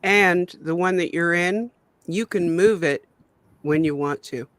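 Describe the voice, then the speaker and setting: A man's voice: high-pitched, unhurried, fairly bright tone, no echo. A man with a Canadian accent, an easygoing guest in a casual chat over a video call.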